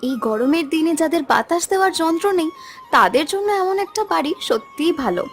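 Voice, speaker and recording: A woman's voice: very high-pitched, animated, brisk. A woman playing a devoted, trusting young village wife in a scripted cartoon. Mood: earnest, supportive. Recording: music behind the voice. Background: background music.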